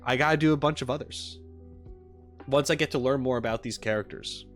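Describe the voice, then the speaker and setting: A man's voice: medium pitch, a little fast, soft background music. A man chatting casually on a livestream as the streamer, in an informal, rambling way with joking asides. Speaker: live streamer reacting and chatting with viewers.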